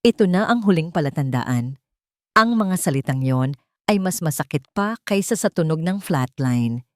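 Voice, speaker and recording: A woman's voice: sits low, darkish tone, no echo, studio quality. A woman narrating an audio drama in a scripted, emotional and emphatic storytelling style.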